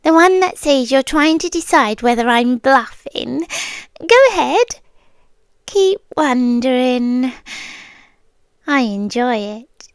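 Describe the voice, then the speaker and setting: A British woman playing a possessive, menacing yandere girlfriend in an ASMR roleplay, soft-spoken and deliberate, often slipping into whispers, sweetly condescending. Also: high-pitched, lively intonation, clear, resonant tone, no echo, full character voice. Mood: smug, teasing. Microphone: close, dry microphone.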